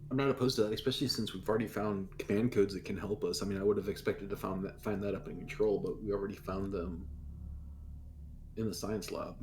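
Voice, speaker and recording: A man's voice: fairly low pitch, steady tone, quick pace, darkish tone. A man playing a tabletop role-playing game, chatting casually over some background noise.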